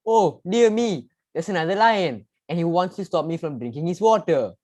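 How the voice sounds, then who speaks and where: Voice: medium pitch, lively intonation, moderate pace, a little mellow, lightly acted. Young student playing growly puzzled lion king. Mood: dismayed. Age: teenager or young adult student. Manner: growling between lines. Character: puzzled, thirsty lion king, timid then stubborn. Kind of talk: readers theater storytelling.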